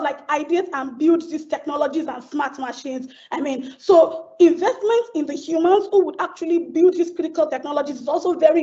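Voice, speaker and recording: A woman's voice: sits high, warm tone. A woman speaking as a webinar panelist, thinking aloud in a searching way and often restarting her sentences. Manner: thoughtful, searching, with frequent restarts. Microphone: video call. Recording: dull lo-fi video call audio.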